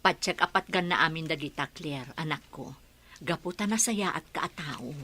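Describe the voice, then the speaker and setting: A woman with an Ilocano accent playing a gentle, caring older mother in a radio drama. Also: deep voice, normal speed.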